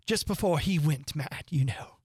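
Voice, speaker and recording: A woman voicing an excitable, mournful male hatter who rambles theatrically. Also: low-pitched, breathy edge, dry recording, clean studio sound, exaggerated voice. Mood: whimsical. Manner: theatrical, rapid swings from chatter to gloom.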